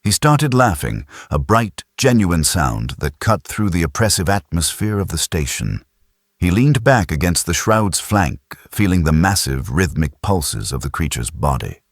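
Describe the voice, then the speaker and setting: A man narrates a sci-fi audiobook in a very deep, husky voice. His delivery is dramatic, with animated pitch movement. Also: unhurried, no echo, clean studio sound.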